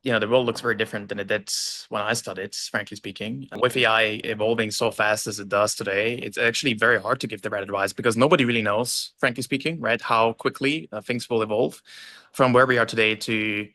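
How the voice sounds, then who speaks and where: A man's voice: lowish voice, steady tone, a little fast, sharp tone, dry recording. A young man from Austria, a podcast guest on a video call, talking fluently with many fillers and tag questions. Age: young adult. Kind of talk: casual interview talk. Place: indoor room with plant, video call.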